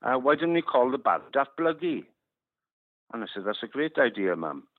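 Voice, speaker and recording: A man's voice: mid-range voice, big pitch swings, normal speed, dry recording. A Welsh man in his fifties, a musician whose first language is Welsh, speaking unhurriedly with many you knows and uhs. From West Wales. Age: 50s. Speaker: musician guest. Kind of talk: interview over a phone line. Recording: dry, tinny phone line.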